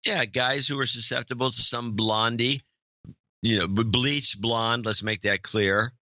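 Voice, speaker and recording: A man's voice: mid-range voice, steady tone, unhurried, pushed and bright, no room sound. A man co-hosting a podcast in casual chat, blunt and opinionated. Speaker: podcast co-host.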